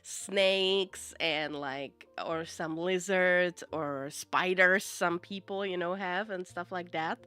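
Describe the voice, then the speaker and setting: A woman chats unhurriedly with her stream viewers, drawling and in no rush. She rambles through her thoughts, padding them with fillers, and breaks into laughs often. Soft music plays faintly behind her. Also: lowish voice, slow pace, few pauses, smooth. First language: Czech. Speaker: live streamer. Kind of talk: casual chat with viewers.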